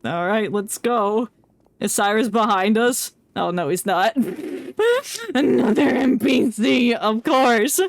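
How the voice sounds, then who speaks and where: A woman's voice: fairly high pitch, animated, slow pace, a little hiss or hum. A woman doing casual livestream game commentary, rambling and reacting aloud in an irreverent way. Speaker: game streamer. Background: game music.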